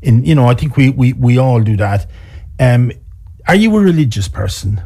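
A man's voice: medium pitch, lively intonation, normal speed, vocal fry, dark tone, breathy edge. A man with an Irish accent interviewing a guest, asking probing questions with some hesitation. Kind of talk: conversational interview.

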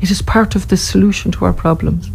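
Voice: mid-range voice, steady tone, quick pace, vocal fry, darkish tone, a little hiss or hum. An interview guest from Ireland with an Irish accent, speaking passionately and thoughtfully, often restarting phrases. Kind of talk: earnest conversational interview answers.

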